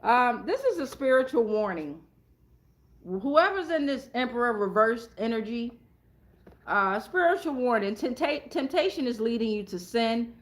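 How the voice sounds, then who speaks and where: High-voiced woman giving a blunt tarot reading. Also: very animated, measured pace. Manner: blunt, profane, slangy. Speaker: tarot reader hosting a reading. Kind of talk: casual, improvised spiritual tarot reading.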